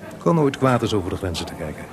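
An actor playing a kindly, calm older composer in a radio drama, speaking in a measured way. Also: male voice, lowish voice. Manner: measured, reassuring. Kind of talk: Dutch radio drama acting. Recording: radio play with crowd murmur.

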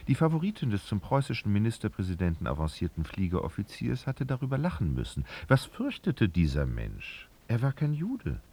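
A man narrating a literary radio drama in a gravelly voice, with expressive, knowing, ironic storytelling. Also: fairly low pitch, brisk, hardly any pauses, exaggerated voice. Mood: wry narration. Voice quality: creaky, gravelly.

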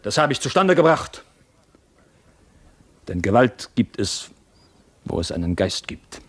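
An actor playing a fervent, scheming mentor in a theatrical radio drama, urgent, rhetorical and commanding. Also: male voice, mid-range voice, sing-song, unhurried, breathy edge. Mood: fervent, boastful. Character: fervent, scheming mentor and jailer urging revolt.

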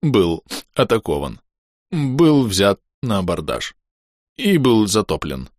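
A man's voice: fairly low pitch, big pitch swings, slow, a little mellow, no echo, clean studio sound. A man voicing the sullen ghost of an old ship's carpenter, talking in a gloomy, grudging, folksy sailor manner. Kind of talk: audiobook character voice.

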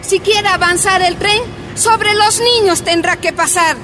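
A woman's voice: extremely high pitch, a little slow, crowd noise, noisy background. A woman playing a poor, impassioned miner's wife in a radio drama. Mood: defiant.

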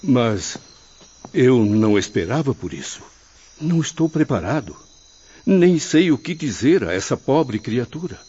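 A man's voice: medium pitch, big pitch swings, measured pace, dark tone, husky. A man playing the humble, hesitant spirit of a learned professor in a radio drama, with formal, earnest diction. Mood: puzzled, unsure. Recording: muffled radio play with music bed.

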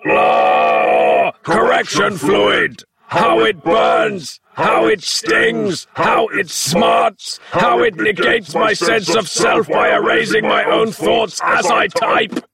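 A man playing a pompous, raging demonic typewriter villain in a comic horror story, declaiming grandly and wordily in a harsh, raspy voice. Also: high voice, big pitch swings, far from the mic, a loud crowd, exaggerated voice. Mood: anguished, shrieking. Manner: declamatory, drawn-out, grandiose delivery.

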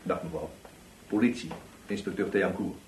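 An actor playing a calm police inspector in a radio drama, questioning politely and in measured phrases. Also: male voice, sits low, noisy background, close to an everyday voice. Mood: polite, gentle.

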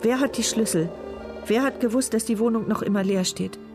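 A woman's voice: on the high side, brisk, close to an everyday voice. An actress playing a trapped wheelchair user, narrating her terrified inner thoughts in fragments in a radio thriller. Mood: dread, horror. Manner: tense, fragmented first-person present-tense narration. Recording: music bed behind voice.

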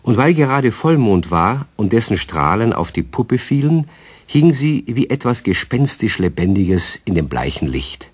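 Male narrator, low husky voice, storybook radio play, lively delivery. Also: measured pace, no echo.

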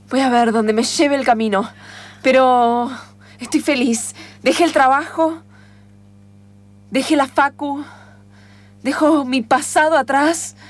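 A woman playing a dreamy, naive young woman cyclist in improvised radio theatre, emotional and hesitant, with an Argentine accent. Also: high voice. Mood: excited.

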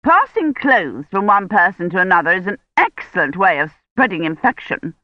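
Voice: medium pitch, big pitch swings, normal speed, no echo, dull audio, close to an everyday voice. A narrator voicing a haughty, self-righteous older woman who scolds in a pompous, indignant way. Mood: disapproving.

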